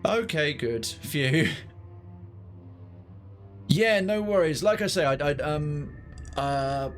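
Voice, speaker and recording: A man's voice: a little high, lively intonation, slow. A man doing casual let's play commentary and chatting to viewers in a wry, rambling way. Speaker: game streamer. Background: faint game music.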